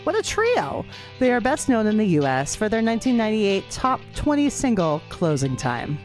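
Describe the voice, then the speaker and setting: A woman's voice: medium pitch, huge pitch swings, normal speed, a little mellow. A woman podcast host reading a scripted band biography. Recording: music playing behind voice. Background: guitar music.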